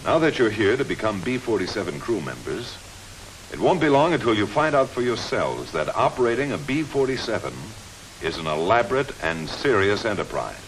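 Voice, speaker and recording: Man, American accent, fairly low voice, military instructor briefing trainees, 1950s film narration, faint hiss. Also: big pitch swings, a little fast, rough recording. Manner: direct address to trainees.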